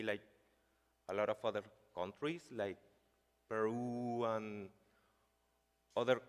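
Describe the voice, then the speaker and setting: Deep-voiced Chilean man giving a conference talk. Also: clean tone. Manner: hesitant, many fillers like "like" and "uh". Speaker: conference speaker. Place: large conference hall. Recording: reverberant hall sound.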